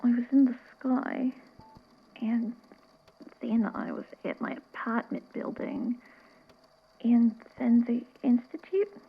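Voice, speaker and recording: A woman playing a dazed, confused young woman in an audio drama, halting and disoriented, with a breathy, often whispery voice. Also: on the high side, flat delivery, narrow, tinny audio, full character voice. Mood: dazed, weak.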